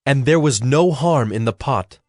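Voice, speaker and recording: A man reading scripted Bible narration in a measured, solemn manner. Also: medium pitch, lively intonation, darkish tone, no room sound, studio quality.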